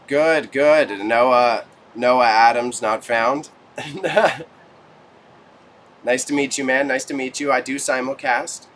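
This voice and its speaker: A man's voice: mid-range voice, fairly even intonation, takes it slowly, a bit bright, a little hiss or hum. A Canadian man chatting casually with viewers as a livestream host, with slangy, joking banter. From Toronto, Canada. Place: small warehouse space stacked with goods.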